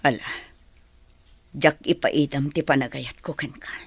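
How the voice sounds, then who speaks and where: A woman with an Ilocano Filipino accent playing a serious, hesitant woman in a radio drama, with a husky voice. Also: extremely low pitch, steady tone, unhurried, dry recording, heavily acted. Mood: hesitant, earnest.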